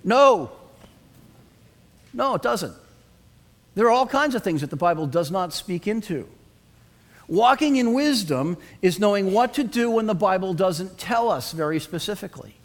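A man's voice: sits high, lively intonation, normal speed, long pauses. A middle-aged male pastor preaching an earnest sermon and asking the congregation to respond.

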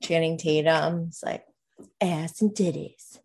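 A woman's voice: sits low, fairly even intonation, takes it slowly, a little mellow, husky. A woman in her late thirties hosting a podcast, chatting casually and candidly with an informal, self-deprecating way of talking. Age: late 30s. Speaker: podcast host.

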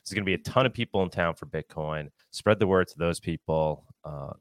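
A man's voice: low voice, a little fast, no room sound. A man hosting a podcast, chatting casually and putting questions to a guest. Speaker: podcast host. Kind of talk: casual interview chat.

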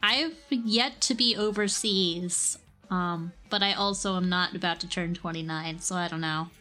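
Slow-talking, slangy young woman. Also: fairly low pitch, pushed and bright, soft background music. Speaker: music podcast co-host. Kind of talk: casual chat.

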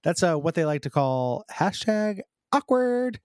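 A man with a froggy, slightly hoarse voice chats casually as a podcast co-host. He talks around a sore tooth, so some sounds come out a little unusual, and he stops and restarts often. The recording is close and dry. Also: sits high, very animated, a little mellow, dry recording. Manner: halting, lots of fillers and restarts. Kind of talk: casual chat.

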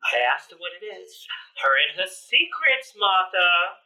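A man's voice: high voice, big pitch swings, a little slow, pushed and bright, far from the mic, heavily acted, low-quality recording. A man playing a talkative, teasing, folksy boyfriend in a naturalistic audio drama, jokey and eager to please. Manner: chatty, jokey, interjecting, exaggerating. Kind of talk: naturalistic found-footage audio drama acting.

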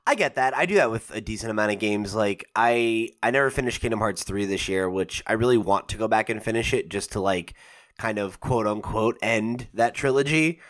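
A man's voice: fairly low pitch, a little fast, a bit bright. A man in his twenties co-hosting a gaming podcast, chatting casually and rambling with lots of fillers and restarts. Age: 20s. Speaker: podcast co-host. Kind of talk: casual chat.

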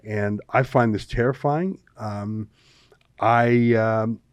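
A man's voice: fairly low pitch, slow, clean tone, no echo. A Canadian man hosting an opinionated political talk show, speaking emphatically with colourful, dramatic phrasing. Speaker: host of a political commentary show.

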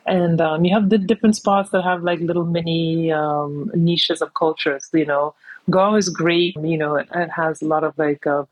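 A woman's voice: deep voice, steady tone, moderate pace, no echo, dull audio. A woman from New York City, a podcast guest chatting casually and rambling easily with lots of fillers. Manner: easygoing, rambling, many "you know" fillers. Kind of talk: casual interview chat.

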